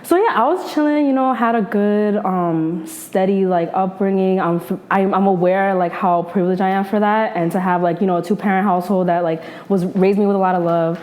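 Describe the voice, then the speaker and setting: Young woman, twenties, New Jersey accent; casual slangy guest, middle pitch. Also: a little fast, few pauses. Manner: relaxed slangy talk, many likes and restarts. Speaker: journalist and podcaster guest. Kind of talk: casual interview chat.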